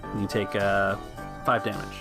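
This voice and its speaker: A man's voice: lowish voice, little pitch movement, normal speed. A man acting as game master, narrating an improvised tabletop game in a conversational, explanatory way. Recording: music playing behind the voice. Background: music.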